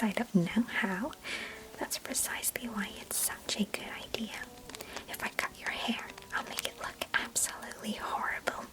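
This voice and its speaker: A woman voicing a chatty, mischievous and affectionate young girl cousin in an ASMR roleplay, in a breathy, intimate whisper close to the mic. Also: medium pitch, natural voice, rough recording. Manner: gentle, chatty, storybook-like old-fashioned phrasing. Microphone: close microphone.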